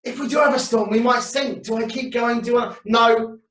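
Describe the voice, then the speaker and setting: Man voicing a frantic, shouting boatman, shrill and distant. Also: fairly even intonation, moderate pace. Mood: worried. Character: panicky male fisherman friend on a boat. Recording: echoey room, distant from mic.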